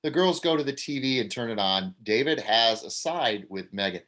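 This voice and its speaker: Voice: mid-range voice, expressive, normal speed, a bit bright. Plain stage-direction narrator. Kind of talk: table read of an amateur play.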